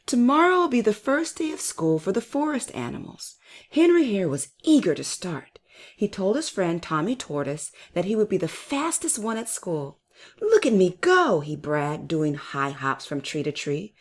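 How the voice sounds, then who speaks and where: A woman hosting and narrating a children's read-aloud story with expressive, emphatic delivery. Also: medium pitch. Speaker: storyteller and channel host.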